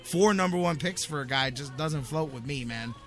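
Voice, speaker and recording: A man's voice: on the high side, moderate pace, fairly bright tone, soft background music. A man hosting a sports talk radio show, giving opinions in an emphatic, insistent way and repeating his points.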